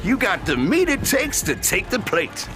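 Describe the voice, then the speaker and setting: Very high-pitched showman, flamboyant. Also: wildly swooping pitch, measured pace, music in the background, heavily acted. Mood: gleeful, sly. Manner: folksy, exuberant, drawling showman patter. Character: flamboyant, food-obsessed wasteland race promoter, hearty male showman. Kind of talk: theatrical video game character acting.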